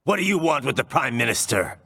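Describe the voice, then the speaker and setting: An actor playing an intense, desperate male action hero in a video game, urgent and strained, with a harsh raspy voice. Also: mid-range voice, very animated, a little fast, pushed and bright, full character voice. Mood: alarmed. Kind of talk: dramatic video game cutscene acting.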